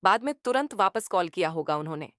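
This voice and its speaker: A woman's voice: fairly low pitch, lively intonation, fast talker, fairly bright tone, dry recording, clean studio sound. A woman reading a scripted narration as a voice-over.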